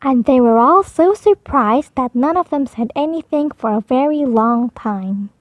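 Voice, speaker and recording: A woman storyteller reading aloud to young children, with deliberate, simple phrasing. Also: high voice, lively intonation, no echo, phone-quality sound.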